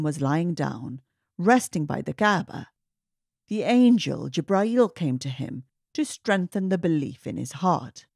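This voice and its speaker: Lady reading kids story out loud, slow and lively, kinda low voice, very dry sound. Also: no room sound. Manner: animated, emphatic, clearly paced delivery. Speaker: children's story reader and host. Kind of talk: expressive read-aloud storybook narration for children.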